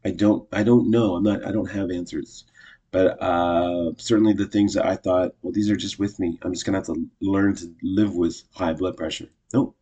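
A man's voice: low voice, steady tone, moderate pace, warm tone, breathy edge, dull audio. A man in his fifties talking casually to camera, rambling and correcting himself often in an upbeat way. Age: 50s. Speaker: vlogger.

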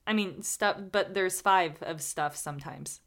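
A woman's voice: lowish voice, unhurried. A woman co-hosting a podcast, telling stories casually with chatty asides. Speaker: podcast co-host.

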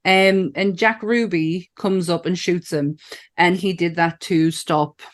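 A woman's voice: lowish voice, fairly even intonation, slow. A woman from northern England with a northern accent, co-hosting a podcast in enthusiastic, casual chat, swearing and laughing often. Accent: northern English. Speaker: podcast co-host.

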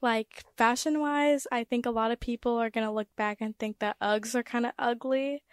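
A teenage high school girl, a guest in a casual interview, chatting with frequent fillers. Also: steady tone, normal speed, smooth, dry recording, clean studio sound. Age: teens.

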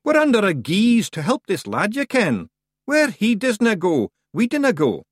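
A man's voice: high-pitched, wildly swooping pitch, normal speed, no echo, clean studio sound, pitched above the performer's own voice, full character voice. A man voicing a tiny, boisterous male fairy warrior leader with a Scottish accent and blunt, rousing dialect speech in a storybook reading. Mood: defiant.

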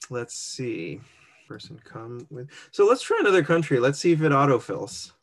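A man's voice: mid-range voice, big pitch swings, slow pace. A man co-hosting a casual online walkthrough of an application form, thinking aloud and laughing at his own slips. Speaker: co-host walking through an online immigration form. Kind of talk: casual screen-share walkthrough.

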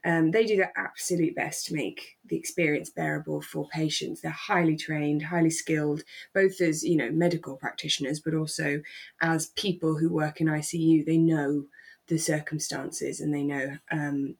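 A woman with a British accent co-hosts a casual podcast. Her voice sits a little lower than usual. She talks informally and takes some care over her wording. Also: fairly even intonation, moderate pace, gravelly, slightly rough. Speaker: podcast co-host. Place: remote call from home.

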